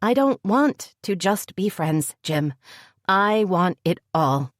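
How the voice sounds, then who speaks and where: A woman's voice: medium pitch, big pitch swings, takes it slowly, no echo, studio quality, pitched above the performer's own voice. A woman voicing an earnest young woman declaring her love, with a tender, pleading delivery.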